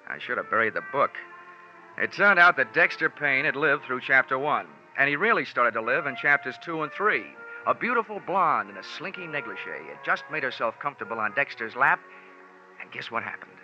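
An actor playing a wry, hard-boiled American insurance investigator in a 1940s radio drama, narrating with dry, deadpan wisecracks. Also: male voice, medium pitch, a little fast. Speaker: lead actor narrating as the detective. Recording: muffled 1940s radio recording with music.

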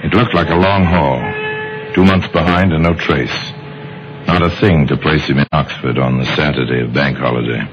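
Very deep dramatic male radio narrator. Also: fairly even intonation. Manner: deliberate, wry. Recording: muffled old radio recording. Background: music.

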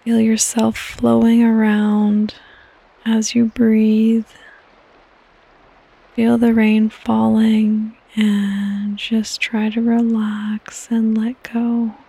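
Very slow, breathy woman guiding sleep meditation. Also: on the high side, dry recording, low-quality recording. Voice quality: soft, breathy, partly whispered. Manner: calm, gentle instruction with long pauses. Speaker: podcast host and meditation guide. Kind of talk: guided sleep meditation, scripted and soothing.

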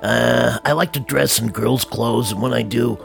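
Really creaky bashful nephew guy, man doing a voice, hesitant, comedy bit with music under it. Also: mid-range voice, steady tone, normal speed. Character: bashful nephew who likes dressing in girls' clothes. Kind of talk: comic podcast promo skit.

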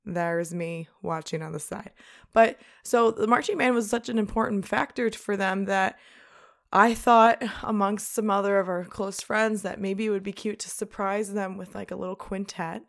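A woman's voice: steady tone, normal speed. A young woman co-hosting a podcast, chatting casually and animatedly and laughing often. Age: young adult. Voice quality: gravelly low voice. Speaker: podcast co-host. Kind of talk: casual chat.

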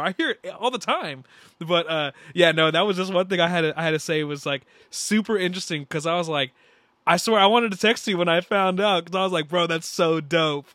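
A young man co-hosting a sports podcast, excitable and slangy, full of dude and bro. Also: sits high, no echo, clean studio sound. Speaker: podcast co-host. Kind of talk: casual sports podcast chat.

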